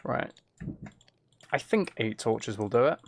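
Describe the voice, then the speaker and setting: A man's voice: mid-range voice, animated, takes it slowly, vocal fry, slight background noise. A man hosting a casual game livestream, muttering to himself as he plays, repeating phrases and chatting with viewers. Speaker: livestream host. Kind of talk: casual game stream chat, reading and answering viewer messages.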